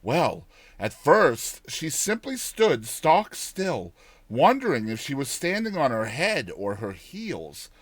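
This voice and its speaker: A man narrating a dramatized audiobook tale in an expressive, theatrical storytelling manner. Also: on the high side, takes it slowly, dry recording.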